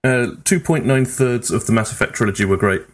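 A man's voice: medium pitch, expressive, fairly quick. A man co-hosting a podcast, chatting casually about video games. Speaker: podcast co-host.

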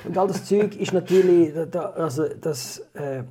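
A man with a Swiss German accent talks in a slightly high register with a very mellow timbre. He chats informally and rambles as he retells and reviews, in the manner of a co-host. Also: moderate pace, husky. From Switzerland. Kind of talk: casual chat, film review.

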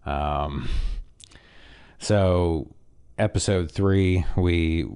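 A man from Canada co-hosts a casual podcast, his voice very deep and smooth with a faintly nasal edge. He talks in an easygoing, rambling way, full of ums and restarts. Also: very slow. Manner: relaxed, rambling with fillers. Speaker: podcast co-host. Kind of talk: casual chat.

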